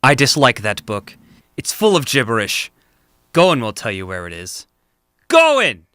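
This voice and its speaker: A man's voice: mid-range voice, wildly swooping pitch, unhurried, pushed and bright, smooth, no echo, clean studio sound, heavily acted. A man playing an imperious, crotchety old king in a fantasy audio drama. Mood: dismissive. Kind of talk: stage-style fantasy audio drama acting.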